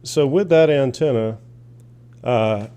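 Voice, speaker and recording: Casting: male hobbyist, warm soft-edged voice, unscripted, folksy, plain-spoken, happy to ramble while demonstrating equipment. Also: mid-range voice, slow pace, clear, resonant tone. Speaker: shortwave radio hobbyist asking viewers for advice. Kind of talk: casual unscripted demonstration and chat.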